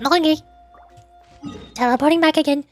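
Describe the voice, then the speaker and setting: A woman's voice: sits high, moderate pace, soft background music. A young woman streamer chatting playfully and making silly sound effects while she plays. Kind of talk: playful casual commentary.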